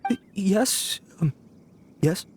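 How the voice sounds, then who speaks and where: A man's voice: high voice, animated, drawn-out words, a little hiss or hum, full character voice. A man voicing a cowardly young bandit in a video game, nervous and stammering, pleading and sheepish. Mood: meek. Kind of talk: dramatic video game voice acting.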